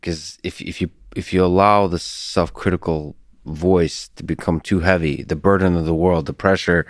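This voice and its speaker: Deep-voiced man. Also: dry recording, studio quality. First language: Russian. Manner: reflective, halting, self-described introvert. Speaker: podcast host. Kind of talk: casual long-form conversation.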